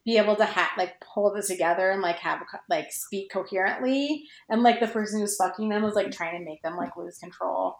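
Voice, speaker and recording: A woman chatting informally about fan fiction, halting and restarting, with "like" scattered all through her sentences. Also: mid-range voice, vocal fry. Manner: halting, informal, heavy use of "like". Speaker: podcast guest, fan fiction writer. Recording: roomy, echoey sound.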